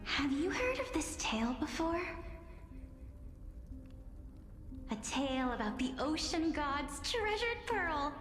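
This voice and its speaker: A playful young woman storyteller from a video game. Her voice is high and theatrical, made for dark legends. It rings with long reverb, and music plays behind her. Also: big pitch swings, measured pace, heavily acted. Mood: wistful, dreamy. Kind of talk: video game voice acting, dramatic tale narration.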